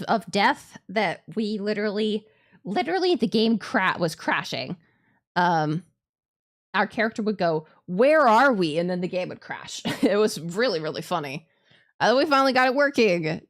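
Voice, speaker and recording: A woman's voice: mid-range voice, sing-song, unhurried. A woman streamer playing a visual novel live, reading the narration aloud and adding playful, casually sweary asides. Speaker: streamer, virtual YouTuber.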